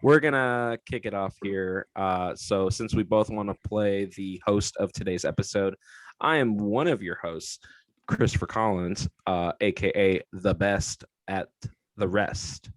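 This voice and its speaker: A man's voice: lowish voice, unhurried, no echo, poor audio. A young man co-hosting a casual sports podcast, chatty and laughing often as he talks. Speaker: podcast co-host.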